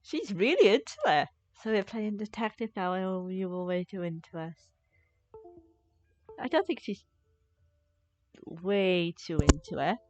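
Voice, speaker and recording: A woman's voice: mid-range voice, animated, unhurried, long pauses, darkish tone, highs cut off. A woman livestreaming a game with casual commentary, musing aloud in a playfully sceptical way on a close mic. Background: faint game music.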